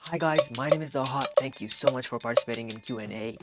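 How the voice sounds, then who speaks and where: A young man in his twenties, from Pakistan, answering viewer questions in a soft-spoken, earnest vlog. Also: mid-range voice, quick pace, loud music behind the voice. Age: 20s. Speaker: vlogger. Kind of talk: casual Q and A monologue.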